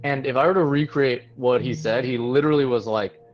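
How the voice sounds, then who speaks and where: A man's voice: medium pitch, fairly quick, faint music behind. Man telling a story over a lo-fi line. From New York area, USA. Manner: conversational, with frequent fillers and restarts. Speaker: interview guest, tech hiring manager. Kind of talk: casual storytelling interview.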